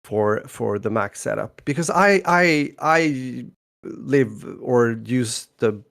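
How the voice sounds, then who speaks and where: A man's voice: mid-range voice, lively intonation, slow pace, vocal fry, a bit bright. A middle-aged man with a Swedish accent, hosting a casual podcast chat, rambling with restarts and laughs. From Sweden. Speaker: podcast host.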